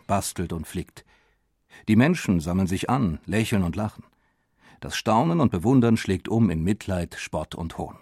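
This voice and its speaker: A man playing a motoring pioneer who recalls public mockery with wry drama, in measured, expressive storytelling for an audio documentary. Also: low-pitched, breathy edge, no room sound, studio quality, exaggerated voice. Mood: wry, dramatic. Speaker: voice actor reading historical eyewitness accounts. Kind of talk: dramatized scripted reading of a memoir.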